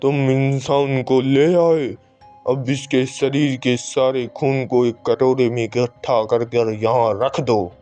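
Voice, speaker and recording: Man playing a scheming tantric sorcerer, solemn, slow, mellow dark voice. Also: medium pitch, flat delivery, soft background music, close to an everyday voice. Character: calculating male tantric sorcerer, twin brother. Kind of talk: horror cartoon voice acting.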